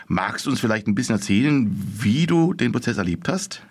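A man, a radio show host, reading a scripted introduction and then asking questions calmly. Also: medium pitch, a little fast. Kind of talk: radio interview.

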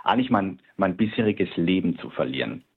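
Low husky man over a phone line. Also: very animated, a little fast. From Switzerland. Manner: hesitant, many fillers and restarts. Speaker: guest artist. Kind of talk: personal interview account.

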